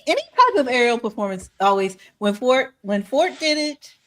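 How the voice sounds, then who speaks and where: A woman co-hosting a podcast in casual chat, expressive and quick to laugh. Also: high-pitched, rough recording. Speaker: podcast co-host.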